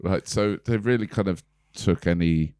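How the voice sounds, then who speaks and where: A man's voice: low-pitched, moderate pace, a little mellow, clean tone, dry recording. A man co-hosting a comedy podcast, telling stories in a wry, chatty way and laughing often. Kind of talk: casual banter.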